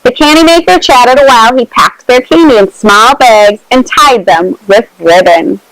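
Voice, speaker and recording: A woman reading a storybook aloud to children in an expressive, measured way, adding her own small asides. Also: on the high side, dry recording, low-quality recording.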